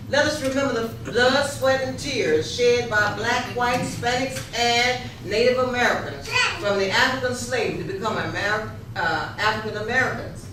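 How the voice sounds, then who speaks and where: A woman reading a solemn proclamation from a script in a readers theater rehearsal. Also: on the high side. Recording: very echoey room, distant mic, clear noise.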